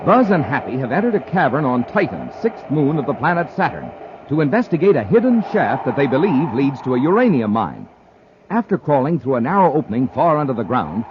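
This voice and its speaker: A man's voice: mid-range voice, sing-song, brisk, background noise. A man announcing in punchy, emphatic mid-century radio style, reading scripted copy. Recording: muffled old radio recording.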